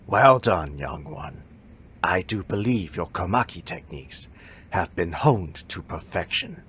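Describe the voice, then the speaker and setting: A man playing an elderly martial arts master in an audio drama, formal and measured, musing as he speaks. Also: deep voice, lively intonation, fairly bright tone, a little hiss or hum. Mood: musing, approving.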